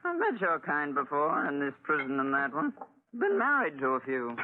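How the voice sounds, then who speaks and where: A woman's voice: low voice, sing-song, moderate pace, a little hiss or hum. A brash middle-aged woman who runs a dockside bar in a 1940s radio drama. She is nosy and coaxing, with theatrical, widely swinging intonation. The sound is a thin, narrow old broadcast. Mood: coy, prying. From United States. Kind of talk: 1940s radio adventure acting. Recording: tinny 1940s radio recording.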